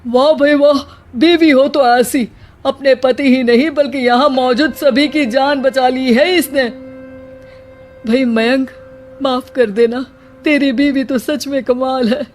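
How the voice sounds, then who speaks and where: A woman's voice: high voice, steady tone, slow, a little mellow, a little hiss or hum. A woman voicing a brash, teasing young man in a moral-story cartoon, talking in an exclamatory, colloquial way. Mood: impressed, apologetic.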